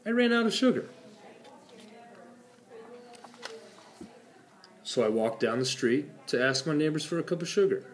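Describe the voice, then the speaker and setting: A man's voice: a little high, big pitch swings, fast talker, soft background music, pitched above the performer's own voice. Storybook wolf, read by a man: smug, conversational, self-justifying, mock-innocent. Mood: chatty, incredulous. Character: smug, chatty wolf protesting his innocence. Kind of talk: animated storybook read-aloud.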